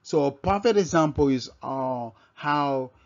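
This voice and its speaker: A man with a Nigerian accent giving an informal scripture lesson as a religious teacher, halting and often repeating and rephrasing himself. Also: on the high side, expressive. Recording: dull, lo-fi microphone sound.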